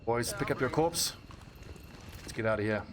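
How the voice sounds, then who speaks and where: A man's voice: medium pitch, moderate pace, a crowd in the background. A man with a South African accent giving casual, blunt commentary while streaming a game and chatting with viewers. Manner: chatty, blunt, swears freely, talks to viewers.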